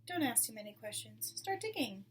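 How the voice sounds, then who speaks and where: A woman's voice: on the high side, sing-song, brisk. Woman as a confident, crafty storybook father fox. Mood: jaunty. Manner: jaunty, commanding, reassuring. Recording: distant mic with clear background noise.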